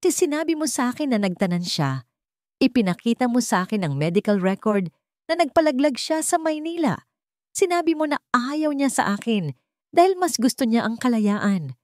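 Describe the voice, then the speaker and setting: A woman's voice: on the high side, sing-song, normal speed, darkish tone, dry recording, voice pushed up. A woman plays a wronged heir in an audio drama, wounded and bitter yet resolute. The lines are defiant and sound dry and close. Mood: shocked, accusing. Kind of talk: dramatic Tagalog audio story.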